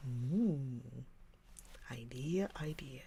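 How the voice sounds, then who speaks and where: A woman hosting a livestream, chatting casually with viewers in a rambling way and often dropping into a soft whisper. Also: extremely low pitch, animated, extremely slow, slight background noise. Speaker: livestream host reading books. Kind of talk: casual chat with live viewers.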